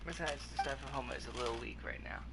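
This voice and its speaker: A man's voice: mid-range voice, fast, very noisy. A man live-streaming a video game, giving casual off-the-cuff commentary as he plays. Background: loud game music and effects.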